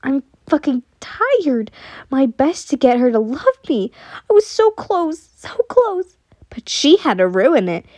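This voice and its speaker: A woman's voice: high-pitched, takes it slowly, dark tone, dry recording. A woman voicing a jealous, unhinged, lovesick young man in a dramatic, emotional story reading. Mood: bitter, anguished.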